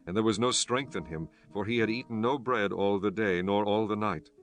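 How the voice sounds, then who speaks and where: Low voiced guy reading the bible as narrator, measured and formal, soft music underneath. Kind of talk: scripted scripture audiobook narration.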